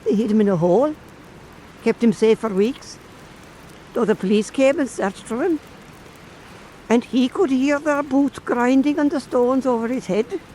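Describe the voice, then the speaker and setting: An actor playing an earnest old islander who tells folk anecdotes in a measured radio drama cadence. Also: mid-range voice, warm tone, a little hiss or hum, natural voice, low-quality recording. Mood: grave, storytelling. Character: old island storyteller, earnest, compassionate toward wrongdoers.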